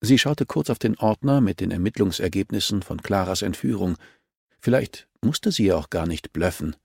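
A man's voice: low-pitched, animated, normal speed, gravelly, darkish tone, slightly rough, dry recording. A man reading scripted crime fiction as an audiobook narrator, recorded close.

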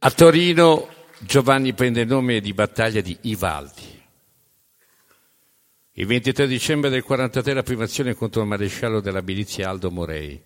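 A man narrates in a dramatic storytelling style. He breaks the tale into short, emphatic phrases with deliberate pauses. His voice rings with the long reverb of a hall. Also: lowish voice. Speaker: narrator of a storytelling stage show.

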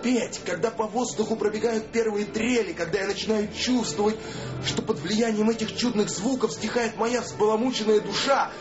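A man's voice: high voice, normal speed, close to an everyday voice. Adoring, jealous husband, played by a male actor, theatrical and impassioned, echoey dull recording. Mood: rapturous. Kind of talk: Russian radio play monologue. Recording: echoey, narrow-band, loud music underneath.

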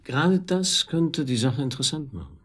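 A man's voice: on the high side, animated, normal speed, breathy edge. A man acting in a German radio drama as a senior tax investigator, dry and ironic, questioning in a wry, needling way. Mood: dry, probing.

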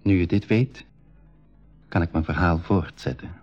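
A man narrating a school radio adventure play in a measured, explanatory way. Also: sits low, a little mellow, soft background music. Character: composed male storyteller explaining an adventure to listeners. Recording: slightly dull 1980s radio recording.

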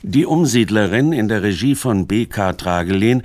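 Low-voiced male documentary narrator. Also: animated, a little mellow, dry recording. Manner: measured, formal, articulate. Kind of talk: scripted radio documentary narration.

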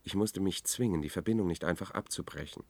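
Low male voice, dry close recording, anxious, reflective, measured, radio drama narrator. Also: vocal fry, darkish tone, dry recording. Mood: brooding. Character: anxious, brooding man recounting his search for a friend.

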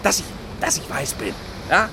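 A middle-aged man in a stage-like radio drama, whose voice sits high. He complains in an agitated, halting stammer. He sounds wounded and self-pitying, firing rhetorical questions. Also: sing-song, gravelly, noisy background. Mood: aggrieved, sarcastic. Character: aggrieved middle-aged man whining over lost privileges. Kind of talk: theatrical stage monologue.

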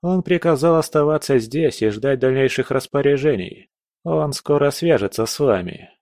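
A man voicing a cool, smug young man who speaks calmly with quiet mockery. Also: mid-range voice, moderate pace, no room sound, studio quality, close to an everyday voice. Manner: calm, mocking.

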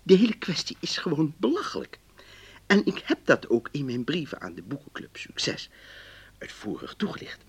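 A man's voice: a little high, huge pitch swings, normal speed, gravelly, breathy edge, in a whisper, exaggerated voice. An actor in a radio play playing an exasperated but polite customer, earnestly and emphatically reading out his letter. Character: exasperated, polite male book-club customer writing letters.

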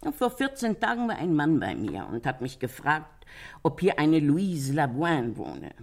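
A woman's voice: deep voice, big pitch swings, unhurried, heavily acted. An actress playing a chatty, candid female witness in a German radio crime drama. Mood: chatty, confiding.

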